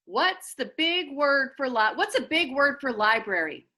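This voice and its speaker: A woman's voice: high voice, lively intonation, measured pace, pushed and bright, full character voice. A woman voicing a smug, snobbish rich schoolboy with a haughty, demanding manner in a storybook.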